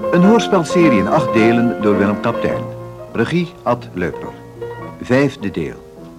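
Loud piano music plays under a male radio announcer. He has a Netherlands Dutch accent and a medium pitch that moves widely as he reads the scripted drama credits. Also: very animated, unhurried.

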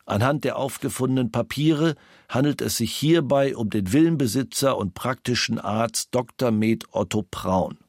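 A male investigator reads a crime-scene report in a mid-pitched voice. He is formal, clinical and detached, in a radio documentary style, recorded dry with no room sound. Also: vocal fry, no echo. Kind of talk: scripted documentary reading of a police report.

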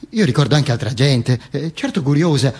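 Husky male stage monologuist, halting and emphatic. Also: medium pitch, lively intonation, darkish tone. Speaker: actor and monologuist. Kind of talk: theatrical storytelling monologue.

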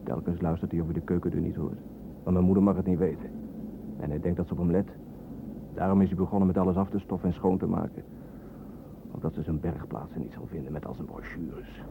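A muffled, noisy old radio play recording of a cynical man on the run. His low voice is sardonic and wordy, with a resentful edge. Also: steady tone, fast, gravelly. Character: cynical army deserter on the run, resentful son.

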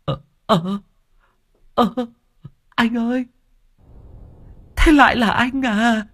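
A clumsy, jittery young helper, put on by a man narrating a ghost story. His voice jumps high and wild, stammering and whining, with a husky grain. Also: normal speed. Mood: nervous. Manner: stammering, whiny, high and excited.

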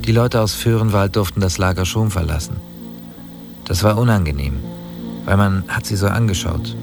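A man's voice: low voice, moderate pace, vocal fry. A man reading a first-person memory in a plain, reflective way. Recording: voice under music.

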